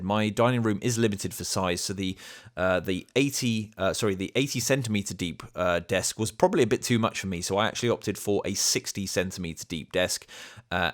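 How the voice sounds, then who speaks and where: A man with a cold, his voice a bit thicker than usual, hosting an easygoing product review at home and explaining the features step by step. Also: on the low side. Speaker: channel host reviewing a product. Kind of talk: casual product review to camera. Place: home dining room.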